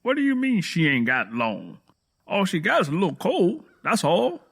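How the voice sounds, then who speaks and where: A man's voice: sits high, wildly swooping pitch, normal speed, gravelly, a bit bright, husky, voice pushed up, exaggerated voice. A man playing a proud, defensive, hot-tempered Southern country man in an audio drama, emotional and defiant. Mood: disbelieving.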